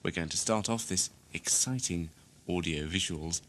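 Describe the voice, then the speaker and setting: A man's voice: deep voice, normal speed, fairly bright tone. A British man speaking as producer and interviewer, giving a wry, tongue-in-cheek introduction. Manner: wry, jokey. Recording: clear background noise on tape.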